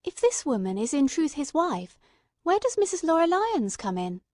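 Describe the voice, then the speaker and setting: A woman's voice: high voice, sing-song, moderate pace, darkish tone, no room sound, clean studio sound, voice pushed up. A woman voicing an earnest male companion who questions a detective with urgent disbelief, in a dramatised reading. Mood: urgent, questioning. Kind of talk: audiobook-style dramatised reading.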